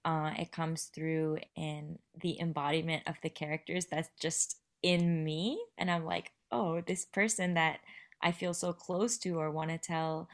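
A woman from Canada, a theatre artist speaking thoughtfully in an interview, pausing on "um". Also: low voice, steady tone. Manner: thoughtful, measured, with "um" pauses. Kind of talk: casual interview talk.

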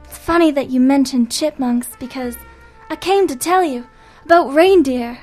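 A woman doing a high, excitable young informant from a comic radio play. She delivers every line with breathless melodrama. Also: normal speed, faint music behind, natural voice. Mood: melodramatic. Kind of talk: comic radio noir acting.

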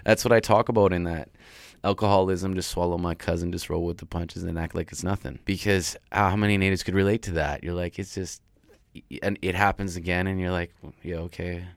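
A man with a deep voice, a rapper talking loosely in an interview with many restarts. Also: steady tone, brisk, gravelly, dry recording. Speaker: rapper guest. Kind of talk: casual interview talk.

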